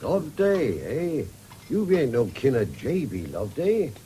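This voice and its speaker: Old country father, English rural accent, unhurried, dark tone, gruff, rambling, radio play. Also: medium pitch, wildly swooping pitch. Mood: musing. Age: older. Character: old farmer father, rambling, easily irritated. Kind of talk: radio drama acting. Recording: faintly noisy 1970s radio recording.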